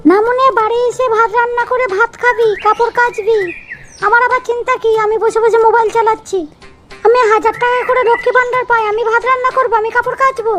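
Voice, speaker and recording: A woman playing a bossy, scolding village wife in an amateur comedy cartoon, nagging and commanding through a squeaky pitched-up effect. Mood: grumbling, smug.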